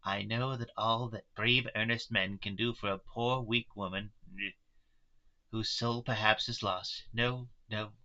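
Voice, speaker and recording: A man's voice: lowish voice, fairly even intonation, a little slow, sharp tone, muffled recording. A man voicing an anguished but resolute wife in a novel, pleading earnestly and solemnly with her friends.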